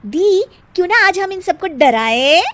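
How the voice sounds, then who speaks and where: A woman voicing a mischievous, excitable young prankster sister in a cartoon moral story. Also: very high voice, unhurried, voice pushed up. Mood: teasing. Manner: excitable, squealing, teasing.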